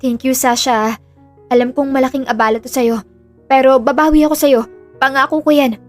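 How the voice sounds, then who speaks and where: A woman's voice: high-pitched, steady tone, normal speed, lightly acted. A woman playing an earnest, burdened young woman in an audio drama, confiding in a subdued way with a Filipino accent. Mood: pleading, grateful. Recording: music under the voice.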